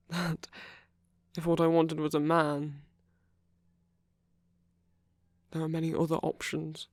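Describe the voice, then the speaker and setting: A man playing a gentle, nervous king in an intimate ASMR roleplay, speaking formally and earnestly with soft hesitations. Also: fairly high pitch, no room sound. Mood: amused, reassuring. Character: gentle, nervous king confessing love to a prince.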